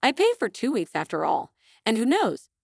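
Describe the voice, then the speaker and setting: A woman's voice: fairly high pitch, wildly swooping pitch, moderate pace, gravelly, a little mellow, no echo, studio quality, voice pushed up, heavily acted. A woman voicing a smug, vengeful wife in a story, mock-innocent and taunting.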